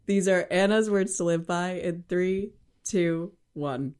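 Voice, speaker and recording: Co-host, woman from the Midwest, low-pitched, chatty and playful. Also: takes it slowly, a little mellow, clear, resonant tone. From American Midwest. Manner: chatty, laughs often, playful. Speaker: podcast co-host. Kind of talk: casual chat.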